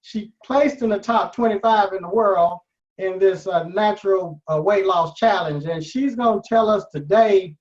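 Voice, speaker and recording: A man's voice: sits high, normal speed, a little mellow. An interview host, who is probably a man, opening the show with a scripted intro that turns loose and filler-heavy. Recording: distant, dull lo-fi sound.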